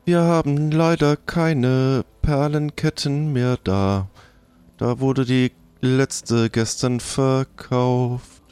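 A courteous, morbidly helpful undertaker, put on by a man speaks slowly and regretfully in a raised voice. He sounds like a shopkeeper in an improvised audio play. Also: medium pitch, steady tone, darkish tone, clear, resonant tone, no echo, pitched above the performer's own voice.

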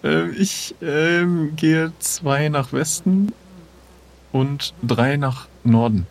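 A man's voice: a little high, drawn-out words, gravelly. A man role-playing casually as a player in an improvised adventure, speaking in a hesitant, inquisitive way.

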